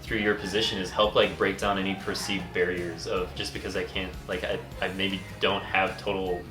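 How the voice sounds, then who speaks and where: Low-voiced young man, relaxed interviewer. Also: moderate pace. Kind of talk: casual interview chat. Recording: roomy echo with faint music.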